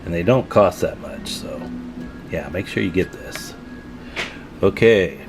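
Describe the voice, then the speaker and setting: A man's voice: sits low, measured pace, music in the background. A man narrating a hands-on repair tutorial in a casual, folksy way as he works. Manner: folksy, informal, talking while working. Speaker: radio repair tutorial host.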